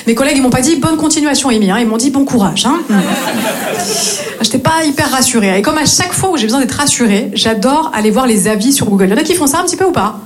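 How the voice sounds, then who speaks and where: A young woman from north-east France doing a stand-up set on stage, chatty and always asking the audience questions. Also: sits high. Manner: chatty, keeps asking the crowd questions and adding "hein". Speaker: stand-up comedian, former English teacher. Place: comedy stage before a live audience.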